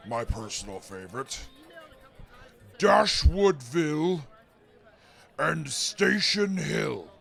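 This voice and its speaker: A man voicing a haughty non-human field reporter in an audio drama, formal and condescending toward humans, with a gravelly voice. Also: on the high side, heavily acted. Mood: pompous reporting. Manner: formal, pompous, condescending, deliberate. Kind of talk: mock news report in post-apocalyptic audio drama.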